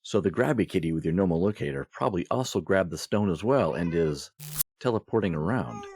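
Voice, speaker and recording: Man, deep voice, husky, animated pitch, wry, sarcastic, comedic audio drama. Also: brisk, a little hiss or hum. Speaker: podcast performer playing himself in a comedy skit.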